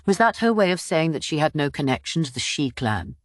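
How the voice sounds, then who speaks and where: A woman narrates fiction for an audiobook. Her voice is low, yet it moves with very animated intonation. The recording is dry and close, suited to expressive scripted storytelling. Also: a little fast, no echo.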